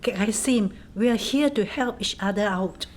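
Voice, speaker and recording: A woman's voice: mid-range voice, normal speed, natural voice. A woman acting in a radio drama as a kind, practical neighbour who keeps the peace, with a Singaporean accent and a firm, soothing manner.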